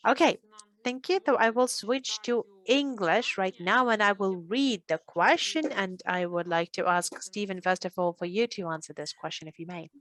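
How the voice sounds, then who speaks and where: A woman moderating an online panel, speaking in a measured, slightly halting way. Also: mid-range voice, fairly bright tone. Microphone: video call.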